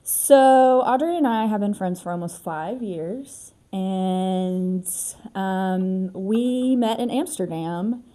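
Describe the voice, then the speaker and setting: Casual American female co-host, mellow tone, mid-pitched, friendly-chat style. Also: takes it slowly. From United States.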